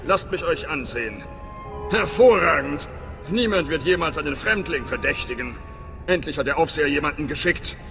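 An actor playing a hearty, wry middle-aged spy station chief in a video game, theatrical and conspiratorial. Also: male voice, a little high, lively intonation, normal speed, vocal fry. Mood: jovial, wry. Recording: long reverb, game music behind.

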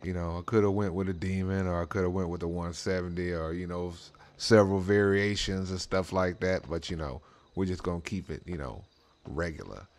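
Deep-voiced man, slangy and rambling. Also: brisk. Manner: slangy, rambling, frequent "you know what I'm saying". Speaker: host of a drag racing role-play channel. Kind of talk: casual in-character game role-play commentary. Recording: clear background noise.

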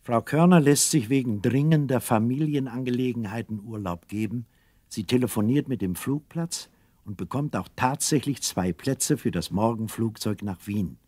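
A male narrator tells a scripted radio play story. His mid-pitched voice is measured and literary, recorded dry. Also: warm tone, breathy edge, dry recording.

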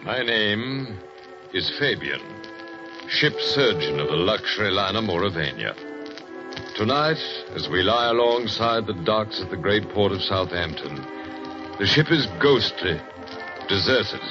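A brooding ship-surgeon narrator, low voice, old radio with music. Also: male voice, big pitch swings. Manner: measured, brooding storytelling. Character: male ship surgeon telling eerie tales. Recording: dull old 1940s radio broadcast.

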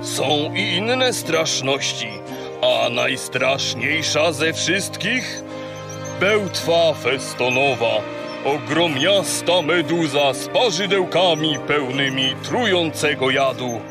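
An actor playing a gruff, boastful sea god who guides and teases like a showman in a children's audio drama. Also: fairly high pitch, expressive, takes it slowly, over music. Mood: grandly informative.

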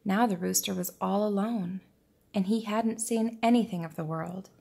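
A woman reading a children's picture book aloud in a deliberate, expressive storytelling manner. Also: mid-range voice, gravelly. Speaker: preschool teacher reading aloud.

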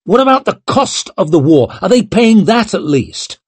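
A man's voice: mid-range voice, huge pitch swings, slow, husky, dry recording, full character voice. A man voicing a proud, hot-headed young lord in an audiobook, snappish and blustering. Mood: outraged.

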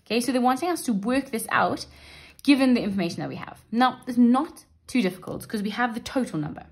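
A woman's voice: a little high, animated, fast. A woman teacher talking through an exam paper at a desk, chatty and reassuring, often checking in with "right?" and "okay?". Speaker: maths teacher. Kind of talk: exam paper walkthrough lesson. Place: indoors at a wooden desk.

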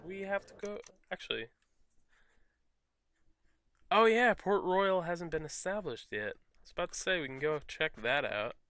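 A man's voice: high voice, normal speed, long pauses, fairly bright tone, clear, resonant tone, no room sound. A man casually commentating a video game he is playing, joking and reading game text aloud in silly voices. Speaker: let's play commentator. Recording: game music behind the voice.

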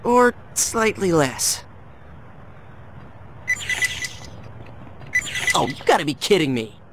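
A man's voice: on the high side, very animated, normal speed. An actor playing an upbeat, cocky teenage boy superhero in a video game, with an exclamatory, slangy, wry delivery. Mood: deflated. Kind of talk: animated video game voice acting. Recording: clear noise behind the voice.

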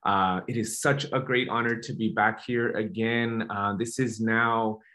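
A man's voice: on the low side, fairly even intonation, unhurried, sharp tone. A man, a college vice president of student services, giving upbeat welcome remarks over a video call.

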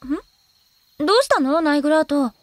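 A woman's voice: sits high, animated, moderate pace, dry recording. A woman playing an earnest, easily flustered teenage girl in a Japanese anime audio drama, speaking hesitantly. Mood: casual.

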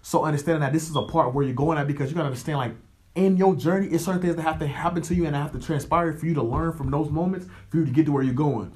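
A man's voice: a little high, very fast, a little mellow. A man in his twenties with an American accent, hosting a podcast in a casual, slangy motivational monologue, often checking in with the listener. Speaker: podcast host.

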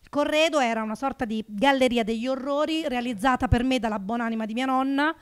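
A woman in her thirties from Naples performing a stand-up routine into a handheld microphone on a small club stage, with a sarcastic, animated delivery that jumps up for punchlines. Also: high-pitched. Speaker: stand-up comedian.

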